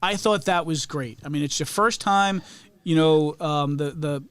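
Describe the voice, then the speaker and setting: A man's voice: a little high, unhurried, a bit bright, smooth. A man talking casually as a guest on a podcast, recorded in a studio. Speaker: podcast guest, Freemason lodge member. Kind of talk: casual chat.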